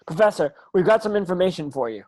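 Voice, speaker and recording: A woman's voice: on the low side, expressive, fast, darkish tone. A woman playing a determined, earnest schoolboy wizard hero in an amateur script read.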